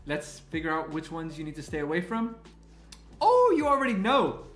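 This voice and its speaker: A man from Miami, bilingual with Spanish as his first language, hosting a live-stream card giveaway in an excitable, gushing way, thanking viewers by name. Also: on the high side, moderate pace. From Miami, Florida, US. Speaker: livestream host running a card giveaway. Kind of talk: live-stream chat, counting out picks. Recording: echoey room with faint music.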